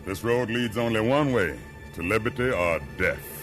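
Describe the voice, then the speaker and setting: An actor playing a grave, determined leader of a slave revolt, giving commands in a 1950s radio drama. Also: male voice, on the low side, moderate pace, close to an everyday voice. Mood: urgent, resolute. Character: resolute rebel slave leader, urgent and grave. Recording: old radio recording with music.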